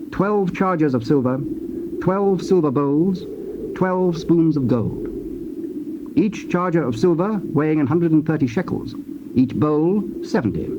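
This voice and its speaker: A man with a British accent reads scripture as a formal narrator, measured and precise. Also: on the high side. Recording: dull, noisy old cassette tape.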